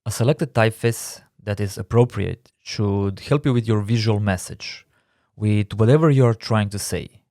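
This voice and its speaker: A man with a Croatian accent talks through a design lesson in a calm, measured voice that sits a little low. His phrasing is slightly non-native. The sound is dry and close, with no room around it. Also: no echo. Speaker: design instructor. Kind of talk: scripted tutorial narration.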